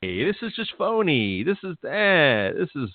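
A man's voice: a little high, sing-song, a little slow, no room sound. A man interviewing a guest in a casual, rambling way, restarting his questions often. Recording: tinny phone-like line.

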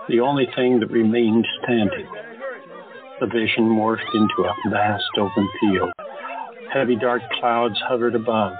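A man narrating an audio drama in a measured storytelling manner. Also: lowish voice. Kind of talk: scripted narration. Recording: phone-like lo-fi. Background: music.

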